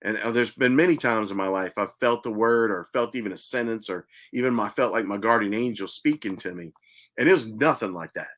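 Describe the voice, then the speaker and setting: A man earnestly telling a personal spiritual story as host, repeating himself for emphasis and sometimes dropping to a hushed voice. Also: lowish voice, a little fast. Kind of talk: personal testimony monologue.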